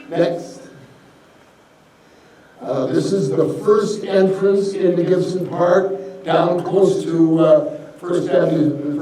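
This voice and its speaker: An older man from Montana giving a rambling, folksy slide-show history talk, a little hoarse from a cold. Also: on the high side, mellow. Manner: folksy, rambling, many fillers. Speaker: local history presenter. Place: lecture hall. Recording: echoey hall, from far off.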